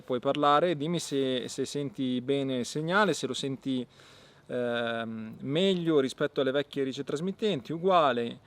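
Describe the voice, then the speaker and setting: A man hosting an outdoor gear review, explaining casually to viewers with halting pauses and repeated words. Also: medium pitch. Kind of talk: casual product demonstration to viewers.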